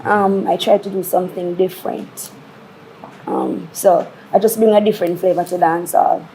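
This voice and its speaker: Mellow woman over noticeable background noise. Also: fairly low pitch, steady tone, normal speed. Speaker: dancehall singjay, interview guest. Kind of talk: casual interview talk. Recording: clearly noisy background ambience.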